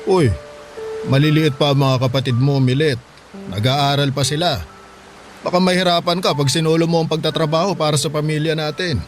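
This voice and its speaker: A man's voice: fairly high pitch, steady tone, a little fast, faint music behind, close to an everyday voice. A man playing a hardworking middle-aged father in a Filipino radio drama, plain-spoken and reassuring, with a Filipino accent. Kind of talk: radio drama acting in Tagalog.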